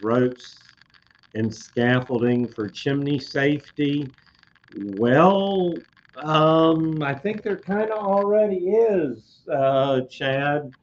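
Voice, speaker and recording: A man talking slowly and haltingly, with many fillers, answering viewer comments on a live webcast, his voice slightly hoarse. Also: medium pitch, muffled recording, a little hiss or hum. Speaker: code expert on a live industry webcast.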